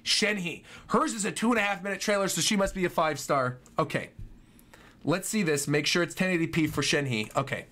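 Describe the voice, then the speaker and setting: A man's voice: a little high, normal speed, pushed and bright. A young man giving casual, enthusiastic reaction commentary as a streamer, often repeating his phrases. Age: young adult. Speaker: streamer reacting to game trailers. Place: small home room, indoors. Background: game trailer music.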